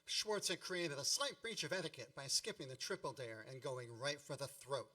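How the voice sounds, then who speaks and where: Male radio play narrator, an actor, wry and nostalgic, mock-grand delivery, highish bright voice, a little off-mic. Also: moderate pace, recorded from a distance. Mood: mock-grave. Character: grown man wryly recalling his Indiana boyhood.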